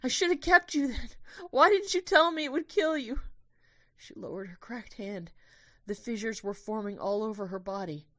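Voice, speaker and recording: A woman playing an anguished, devoted young narrator in an audio drama, with intense, expressive delivery. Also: a little high, moderate pace, dry recording, clean studio sound, lightly acted. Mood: anguished, desperate, then earnest, tense.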